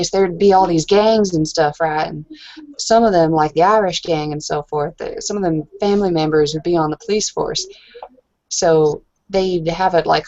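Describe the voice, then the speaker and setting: A woman's voice: fairly low pitch, a little mellow, dull audio. A woman improvising casual in-character roleplay talk as a blunt, curious bar-goer, rambling and slangy. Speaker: tabletop roleplay player.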